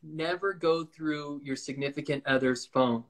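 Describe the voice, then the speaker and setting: A young man chatting in a relaxed, easygoing way on a livestream video call, speaking into a phone held at arm's length. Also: fairly high pitch, unhurried. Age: young adult. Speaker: livestream co-host. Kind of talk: casual chat on a video call. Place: room with shelves indoors.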